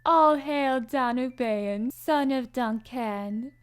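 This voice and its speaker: A woman voicing a cold, riddling witch who speaks prophecy in a measured chant. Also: sits high, smooth. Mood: ominous. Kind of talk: fan audio drama acting.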